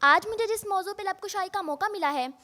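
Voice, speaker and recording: A woman's voice: extremely high pitch, fairly quick, sharp tone. A female school contestant with a Pakistani accent, declaiming a formal speech in rhythmic phrases. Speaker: student contestant in speech competition.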